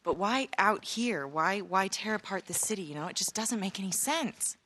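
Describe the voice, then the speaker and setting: An actress playing a tough, skeptical journalist in a war zone, blunt and sardonic, for a video game. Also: female voice, mid-range voice, expressive, moderate pace, fairly bright tone. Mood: earnest. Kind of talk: naturalistic video game cutscene acting. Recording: faint background noise.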